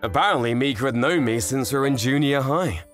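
A man voicing a cocky, good-natured teenage boy in a manga dub, casual and expressive. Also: mid-range voice, a little fast, soft background music, close to an everyday voice. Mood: reflective. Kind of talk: manga dub narration and dialogue.

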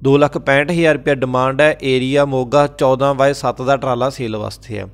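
A man with a Punjabi accent hosting a farm-machinery sales channel, briskly reading listings and repeating prices and phone numbers. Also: mid-range voice, steady tone. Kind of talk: classified sales rundown voice-over. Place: voice-over, not recorded at the pictured sites.

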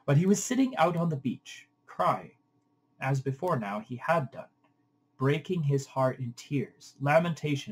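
A man reading an epic poem aloud as an audiobook narrator, in an expressive literary style. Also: mid-range voice, breathy edge, poor audio. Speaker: audiobook reader.

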